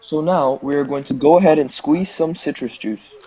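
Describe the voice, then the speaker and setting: A young man explains each step in a measured instructional narration. His voice is very mellow and a little high, over faint background noise. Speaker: fishing show host.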